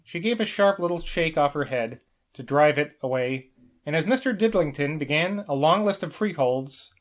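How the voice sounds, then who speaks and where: A man's voice: fairly high pitch, fairly bright tone. A man narrating a novel as an amateur audiobook reader, with the occasional small stumble over the text. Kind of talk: scripted literary narration, novel read aloud.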